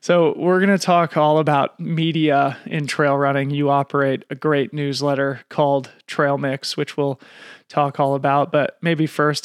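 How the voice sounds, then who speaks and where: Higher-voiced man hosting a podcast. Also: smooth, no room sound, studio quality. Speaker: podcast host. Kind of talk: podcast interviewing.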